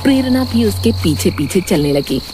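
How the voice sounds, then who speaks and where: A woman narrating a scripted story in an expressive storytelling manner. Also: mid-range voice, normal speed, noisy background.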